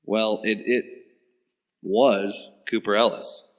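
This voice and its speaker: An actor playing a sarcastic, flustered young government agent in an audio drama. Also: male voice, lowish voice, little pitch movement, slow, in a large hall.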